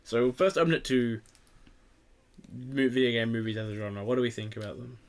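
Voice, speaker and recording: A man's voice: mid-range voice, steady tone, a little fast. Man, mid-range voice, podcast host, casual opinionated chat, rambling, sweary.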